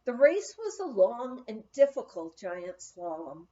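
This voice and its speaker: High, lively, unhurried woman reading aloud. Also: dull audio. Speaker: audiobook reader. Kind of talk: scripted memoir narration, read aloud.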